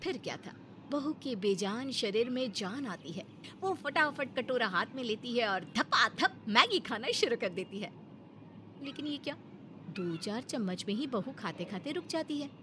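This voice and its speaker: Female narrator for cartoon tales: dramatic, expressive, bright and high, with faint background noise. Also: moderate pace. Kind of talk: animated scripted storytelling for a cartoon.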